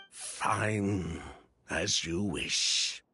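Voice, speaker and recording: A man's voice: deep voice, noisy background, full character voice. An actor playing a weary, resigned man in a video game, telling of a bitter past with a dramatic delivery.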